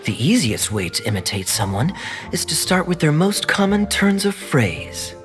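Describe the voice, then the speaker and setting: A woman voicing a composed, gently teasing young thief in a video game cutscene. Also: low voice, sing-song, moderate pace. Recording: game dialogue over music.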